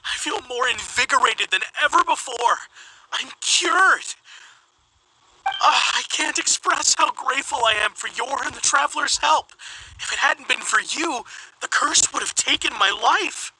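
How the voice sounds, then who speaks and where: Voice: sits high, animated, unhurried, pushed and bright, slight background noise, heavily acted, low-quality recording. An actor playing a timid, superstitious, polite young townsperson in an anime-style video game, speaking earnestly and hesitantly. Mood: relieved, grateful.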